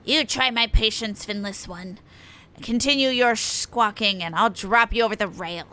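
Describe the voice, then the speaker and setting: A woman's voice: on the high side, normal speed, pushed and bright. A woman voicing a cruel, boastful male fish-man raider from a video game, hissing and threatening. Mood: menacing. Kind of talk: video game villain dialogue read aloud.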